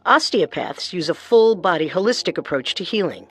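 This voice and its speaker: A woman's voice: fairly low pitch, expressive, normal speed, husky. A woman hosting a podcast with scripted true-crime narration. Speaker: podcast co-host.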